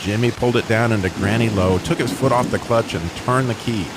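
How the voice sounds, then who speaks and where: A man narrating a scripted radio drama as a dramatic storyteller. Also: lowish voice. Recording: clear rain and rushing water noise behind.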